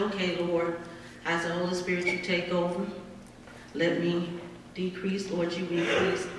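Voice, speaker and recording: A woman giving a personal testimony as a story to an audience in a large hall. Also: fairly low pitch. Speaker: singer and speaker at an event. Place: large indoor event hall. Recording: very echoey hall, distant mic, faint noise.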